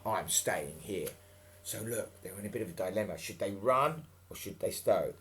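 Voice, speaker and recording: A man's voice: on the low side, brisk, fairly bright tone, slight background noise. A man reading a storybook dramatically and breaking off for chatty, playful asides.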